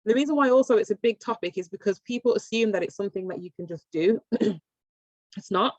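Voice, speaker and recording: A woman's voice: medium pitch, brisk, a little mellow, dry recording. Chatty woman presenting over a video call. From England. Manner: chatty, self-deprecating, many fillers and restarts. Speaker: workshop presenter. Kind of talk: informal online workshop presentation. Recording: muffled dry video call audio.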